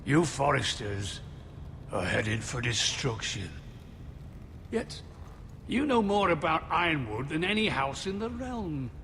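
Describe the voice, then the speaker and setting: An actor playing an older, menacing rival lord in a fantasy video game, pompous, mocking and theatrical. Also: male voice, a little high, very animated, slow pace, creaky, long pauses, fairly bright tone, slight background noise, heavily acted. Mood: scornful, threatening. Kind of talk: dramatic video game acting. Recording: long hall reverb, music underneath. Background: background music.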